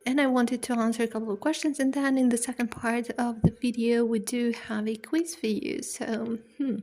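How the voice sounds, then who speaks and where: Host type: woman, self-deprecating, chatty, rather monotone. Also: fairly high pitch, fast talker. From Serbia. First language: Serbian. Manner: chatty, self-deprecating, stops and restarts words. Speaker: language-learning channel host. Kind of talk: casual talk to camera.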